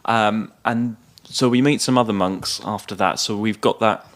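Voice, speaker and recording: A man with a fairly low voice co-hosts a casual film podcast. He retells the story in a loose, conversational manner, feeling his way through it with some hesitation. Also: steady tone, moderate pace. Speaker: podcast co-host.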